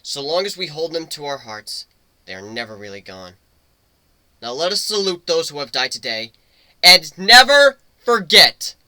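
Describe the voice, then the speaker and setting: A man voicing a gruff, rousing griffin crew leader in a dramatic reading, with solemn, emphatic oratory. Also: a little high, very animated, normal speed, sharp tone, no room sound, pitched above the performer's own voice, exaggerated voice. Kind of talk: dramatic reading of fan fiction.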